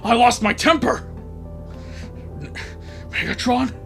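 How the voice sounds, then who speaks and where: A man's voice: extremely high pitch, takes it slowly, gravelly, sharp tone, soft background music, heavily acted. A man voicing a heartbroken robot hero in a parody fan dub, pleading melodramatically. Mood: desperate pleading.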